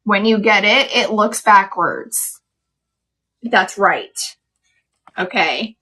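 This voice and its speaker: A woman's voice: mid-range voice, lively intonation, slow, pushed and bright, husky. A woman in her late thirties hosting a live craft stream, chatting casually with viewers and explaining each step as she works. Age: 30s. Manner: chatty, laughs often, explains steps.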